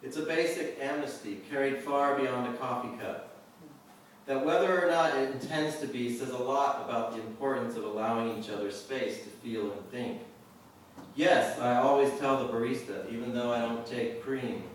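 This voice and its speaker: A man's voice: mid-range voice, normal speed. Man reading poetry in a very echoey room. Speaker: poet. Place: indoor reading venue with a live audience. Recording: very echoey room, distant mic, faint noise.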